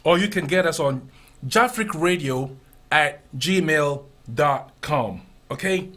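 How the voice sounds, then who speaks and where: A man with a Ghanaian accent hosting a casual radio chat show, animated and prone to repeating himself. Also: a little high. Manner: animated, repeats phrases, drawn-out spelling of contacts. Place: radio studio.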